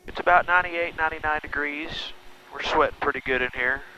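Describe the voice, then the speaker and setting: Male pilot-vlogger, bright forceful voice, casual narration, dulled headset audio with some engine noise. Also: on the high side, a little fast, low-quality recording. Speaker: private pilot and video host. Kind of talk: casual vlog narration and radio calls. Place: small plane cockpit in flight. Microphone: aviation headset. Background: aircraft engine drone.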